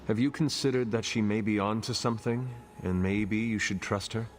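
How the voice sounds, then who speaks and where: Calm, lowish-voiced male hunter played by an actor. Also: a little hiss or hum, close to an everyday voice. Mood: calm, concerned. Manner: measured, earnest, slightly formal. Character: calm, resolute male demon hunter, measured and protective. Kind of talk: dramatic video game acting.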